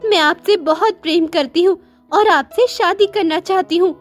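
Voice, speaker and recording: Young sweetheart for a cartoon tale, voiced by a woman: high-pitched, pleading, sweet, music lightly behind. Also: moderate pace. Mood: affectionate. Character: young woman in love, eager to marry. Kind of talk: cartoon story dialogue.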